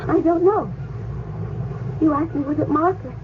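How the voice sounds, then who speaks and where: A woman's voice: high voice, moderate pace, natural voice. An actress playing Lydia, a devoted and jealous young freed slave girl, emotional and pleading, in a 1970s radio drama. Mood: anguished. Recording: tinny lo-fi radio broadcast with hiss.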